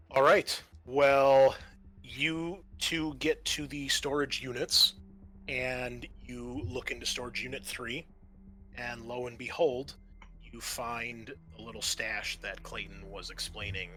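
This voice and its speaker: Bright-voiced man running a tabletop game. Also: mid-range voice, fairly even intonation, rough recording. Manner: halting, conversational. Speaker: game master. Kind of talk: improvised tabletop game narration. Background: background music.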